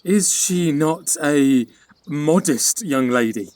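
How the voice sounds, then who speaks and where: A man's voice: fairly high pitch, expressive, takes it slowly, darkish tone, no room sound. A man playing an eager, lovestruck young lord, speaking sincerely in a Shakespearean radio play. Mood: smitten, earnest.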